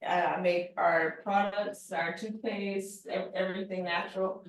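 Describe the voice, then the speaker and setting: A woman's voice: lowish voice, fairly even intonation, unhurried. Woman in a very echoey room. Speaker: real estate agent. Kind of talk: casual self-introduction. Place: indoor group meeting room. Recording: very echoey room, distant microphone.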